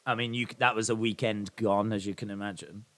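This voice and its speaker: A man's voice: on the low side, steady tone, fairly quick, a bit bright. Chatty, rambling man hosting a watch-along. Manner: rambling, chatty, self-deprecating asides. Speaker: live stream host. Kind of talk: casual watch-along chat reading viewer comments.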